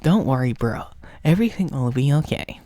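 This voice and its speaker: A young British man giving a playful ASMR roleplay tutorial straight to the listener, mock-instructive and slipping at times into breathy whispers. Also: medium pitch, animated, normal speed, warm tone, no room sound. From United Kingdom. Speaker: ASMR roleplay creator and host.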